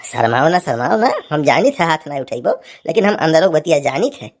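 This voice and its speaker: A woman's voice: low-pitched, expressive, fairly quick. A woman voicing a grumbling, teasing village husband in a cartoon comedy, with an Awadhi accent and a folksy, scolding manner. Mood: chatty.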